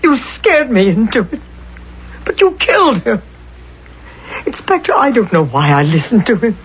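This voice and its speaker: Anxious guilty wife, very animated intonation, melodramatic pleading, radio drama, muffled recording. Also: female voice, fairly high pitch, normal speed, breathy edge, full character voice. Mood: panicked, accusing. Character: anxious, guilt-ridden adulterous wife in a crime plot. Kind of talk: 1970s radio drama acting. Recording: dull, noisy old radio recording.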